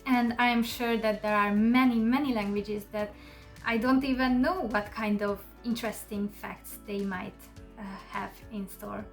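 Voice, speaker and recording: A woman whose first language is Hungarian talks casually to camera in English as a channel host, relaxed and chatty with soft fillers. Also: medium pitch, a little slow, soft background music.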